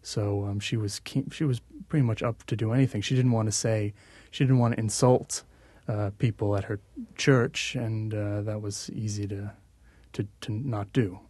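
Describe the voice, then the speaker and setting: A man speaks casually as a musician guest on a radio show, often stopping and restarting his thoughts. His voice is on the low side. The studio sound is close and dry. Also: steady tone, darkish tone, breathy edge, dry recording. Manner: halting, many restarts.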